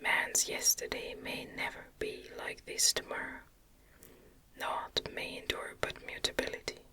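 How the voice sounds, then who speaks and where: A woman reads a gothic novel aloud in a gentle, measured whisper as an ASMR narrator. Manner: gentle, measured, soft delivery. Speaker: audiobook narrator.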